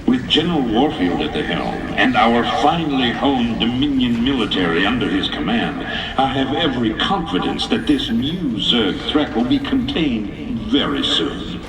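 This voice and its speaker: A man's voice: mid-range voice, animated, moderate pace, close to an everyday voice. A man playing an imperious, self-assured emperor giving a grand, formal political speech in a video game. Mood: grand, confident. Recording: echoing public address, loud crowd.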